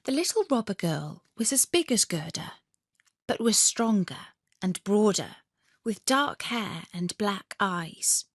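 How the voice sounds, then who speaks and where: A woman narrates a children's audiobook in a measured, expressive way. She takes her time over the story, and the sound is very dry, with no room on the voice. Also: mid-range voice, no echo. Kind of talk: scripted children's fairy tale audiobook narration.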